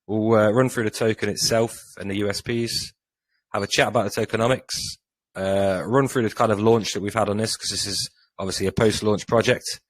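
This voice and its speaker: A man hosting a live crypto community AMA, running through the programme in a brisk, rehearsed way with a few fillers. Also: on the low side, fairly even intonation, no echo, rough recording. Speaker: AMA host.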